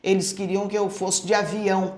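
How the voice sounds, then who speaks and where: An older woman, a retired school director, telling stories from her early years in a relaxed way and often tagging her sentences with "né?". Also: mid-range voice, moderate pace. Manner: storytelling, tags statements with "né?". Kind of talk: reminiscing interview answers. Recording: echoey room.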